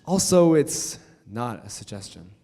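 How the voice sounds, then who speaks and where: A young man playing a cocky, self-centered high school boy in a staged reading. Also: sing-song, unhurried, warm tone. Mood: smug. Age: young adult. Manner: cocky, mocking. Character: smug, arrogant teen boy class king.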